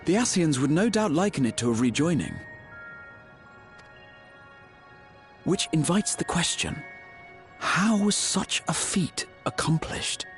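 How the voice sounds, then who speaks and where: An actor voicing a self-assured young scholar in a video game, explaining things in formal, precise, deliberate phrases. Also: male voice, on the high side, very animated, soft background music. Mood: intrigued, analytical. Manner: articulate, formal, deliberate lecturing delivery. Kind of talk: scripted video game exposition.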